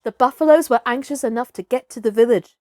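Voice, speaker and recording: A woman storyteller giving an expressive scripted narration of a children's tale. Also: on the high side, fast talker, dry recording, clean studio sound. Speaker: storyteller, actress.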